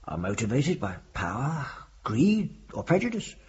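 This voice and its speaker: A devout, compassionate reverend in a radio drama. He speaks slowly and solemnly with wide, expressive intonation and a very husky voice, fatherly and grave, slightly muffled in sound. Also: medium pitch, heavily acted. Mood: grave, gentle. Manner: solemn, deliberate, priestly.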